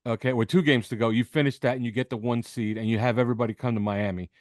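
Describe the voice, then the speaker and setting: Male sports podcast analyst, average pitch, emphatic repetition, dry close sound. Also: steady tone, fast, no echo. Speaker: podcast host appearing as guest analyst. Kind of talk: sports interview commentary. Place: video call with backdrop behind.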